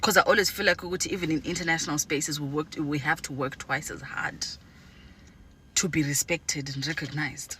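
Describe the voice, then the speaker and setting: A woman's voice: sits low, steady tone, brisk, pushed and bright, slight background noise. A young woman from Zimbabwe speaking candidly and with animation, switching between languages mid-sentence, blunt and sometimes profane. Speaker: interviewee. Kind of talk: candid talk on camera.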